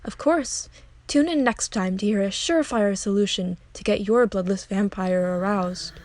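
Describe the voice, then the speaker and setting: A woman's voice: mid-range voice, moderate pace, darkish tone, close to an everyday voice. A woman playing a breezy, folksy call-in host giving matter-of-fact advice in a comic radio drama. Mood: breezy, advising. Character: breezy female radio agony aunt for vampire lovers.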